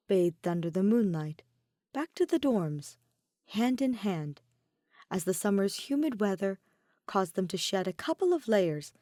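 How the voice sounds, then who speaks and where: A woman's voice: mid-range voice, warm tone, no room sound, studio quality. A woman reading a piece of fan fiction as scripted story narration. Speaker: podfic narrator.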